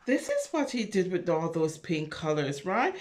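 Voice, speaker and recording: A woman reading a picture story aloud and chatting to preschoolers in an animated, encouraging, sing-song way, speaking directly to them. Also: lowish voice, normal speed. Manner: animated, encouraging, talking directly to small children. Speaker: children's story reader and craft host. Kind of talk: read-aloud and chat for preschoolers.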